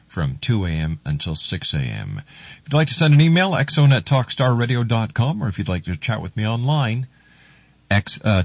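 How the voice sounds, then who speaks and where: A man's voice: low voice, slightly rough, no echo, dull audio. A man hosting a radio show, presenting in talk radio style.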